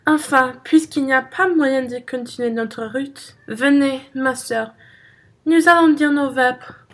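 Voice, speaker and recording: A young woman playing a pious, earnest nun in a French radio play, speaking solemnly and deliberately. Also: high voice. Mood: grave. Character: pious, earnest nun devoted to nursing soldiers.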